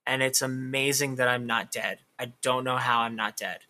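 Bright-voiced man in his thirties. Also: mid-range voice, fairly even intonation, moderate pace, slight background noise. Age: 30s. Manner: candid, talkative, lots of "like" fillers. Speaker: author guest. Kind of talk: casual interview chat.

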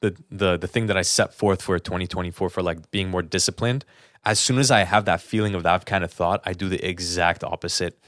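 A man's voice: low voice, steady tone, brisk, a bit bright, dry recording, clean studio sound. A man co-hosting a podcast, talking casually and candidly in an earnest, explanatory, colloquial way. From lives in Los Angeles. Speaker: podcast co-host.

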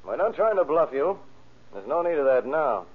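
A man's voice: a little high, very animated, normal speed, husky. An American actor playing a confident, needling lawman grilling a suspect in a vintage radio drama. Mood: taunting. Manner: taunting, emphatic. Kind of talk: 1930s radio drama acting. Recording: muffled, band-limited old radio recording.

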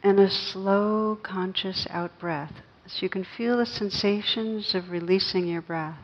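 A woman teaching guided meditation, speaking gently and unhurriedly with long pauses between phrases. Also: medium pitch, steady tone, highs cut off.

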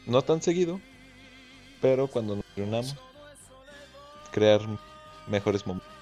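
A man of twenty-one, a podcast guest speaking in a measured, somewhat formal way. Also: medium pitch, lively intonation, dark tone, faint music behind. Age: 20s. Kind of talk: casual chat among friends. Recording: dull lo-fi audio.

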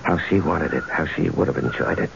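An actor playing a nervous, guilt-ridden husband in a radio drama, stammering and halting. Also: male voice, rumbling low, vocal fry, heavily acted. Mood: subdued, halting. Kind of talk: 1970s radio drama acting. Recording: dull old radio recording, faint hiss.

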